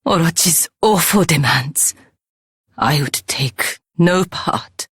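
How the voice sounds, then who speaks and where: A woman playing a grave, guarded villager in a video game, with a husky, breathy voice. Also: low voice, expressive, slow, fairly bright tone, no room sound, studio quality, exaggerated voice. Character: grave, worried woman villager, cautious and bitter. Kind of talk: dramatic video game voice acting.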